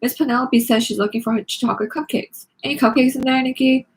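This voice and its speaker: A woman voicing an excitable, bossy little sister with a screechy, pleading delivery in a children's book read-aloud. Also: sits high, quick pace, pitched above the performer's own voice, poor audio. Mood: curious.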